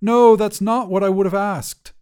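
A man playing a grieving, bewildered character who speaks in an awkward, earnest and halting way. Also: high voice, fairly even intonation, darkish tone, clean tone, pitched above the performer's own voice, close to an everyday voice. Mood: pleading.

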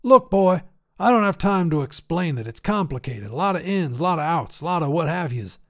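A stubborn old grandfather, played by a male story narrator, raised in pitch above the reader's own voice. He talks in folksy, clipped bursts, insistent about his homemade inventions. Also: very animated, a little fast, a bit bright.

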